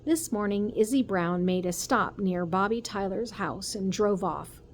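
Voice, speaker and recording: Woman reading as a measured police chief father. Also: medium pitch, lightly acted. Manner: measured, gentle, methodical. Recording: noticeable background noise.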